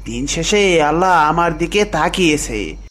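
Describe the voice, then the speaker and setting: A man's voice: fairly high pitch, animated, measured pace, fairly bright tone, a little hiss or hum. A man playing a devoted, emotional village husband and father-to-be in an audio story drama, speaking earnestly and emphatically. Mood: happy, excited.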